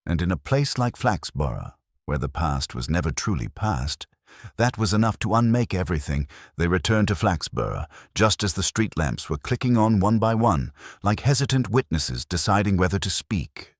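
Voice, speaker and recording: A man reading a scripted mystery story in a measured, literary storytelling manner. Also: low voice, lively intonation, dry recording, clean studio sound. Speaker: narrator.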